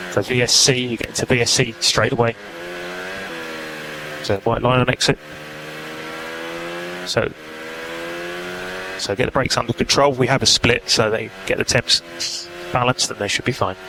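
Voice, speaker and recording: Clipped race engineer man over noisy radio. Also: mid-range voice. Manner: clipped, matter-of-fact, reading out numbers. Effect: team radio. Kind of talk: terse technical radio instructions to a racing driver. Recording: noisy team radio, engine and car noise.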